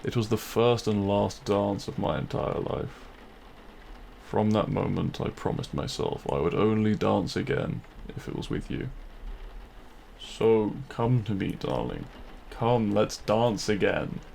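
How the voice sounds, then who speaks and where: Man playing a slow, gravelly, possessive demon king. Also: on the low side, a little mellow, a little hiss or hum. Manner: theatrical, silky, menacing yet courtly. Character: possessive, smug demon king wooing a kidnapped royal. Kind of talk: scripted audio roleplay, addressed to the listener.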